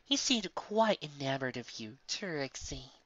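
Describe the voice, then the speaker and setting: A man's voice: fairly high pitch, very animated, unhurried, a bit bright, dry recording, highs cut off. A man voicing a teasing, chatty female pony in a storybook reading, with a sly, gossipy, needling manner. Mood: amused. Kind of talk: audiobook character acting.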